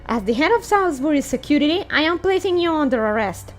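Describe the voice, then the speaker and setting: A stern fairy lady in command of the guards read aloud by a woman. The delivery is formal and commanding, in a high voice with faint music beneath. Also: animated, fast talker. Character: stern, formal female fairy head of security.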